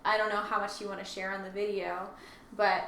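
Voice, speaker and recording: Bright female co-host in an echoey room. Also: mid-range voice, flat delivery, a little fast. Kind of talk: casual discussion. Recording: echoey room, faint background noise.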